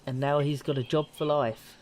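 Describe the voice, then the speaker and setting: A man in a casual podcast conversation. His voice is mid-pitched, and he speaks as a co-host bantering with the others. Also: fairly even intonation, moderate pace, a loud crowd. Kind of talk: casual, animated group chat.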